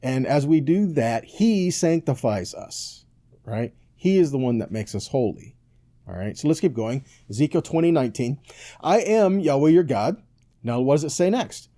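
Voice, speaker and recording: A man's voice: mid-range voice, lively intonation, moderate pace, dark tone. A man preaching in a conversational Bible-teaching style, emphatic and didactic, often putting questions to his listeners and checking that they follow. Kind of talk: conversational sermon, scripture teaching.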